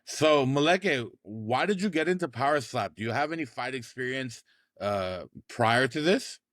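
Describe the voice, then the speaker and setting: A man's voice: medium pitch, animated, moderate pace, fairly bright tone, no echo, clean studio sound. A man interviewing a guest casually, addressing him in a friendly, informal way. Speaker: interviewer, show host.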